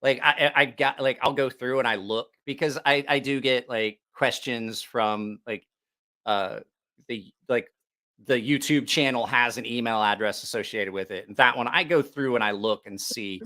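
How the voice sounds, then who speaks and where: A man's voice: medium pitch, normal speed, pushed and bright. A man hosting a casual livestream, rambling and repeating himself, with plenty of swearing. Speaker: YouTube knife channel host.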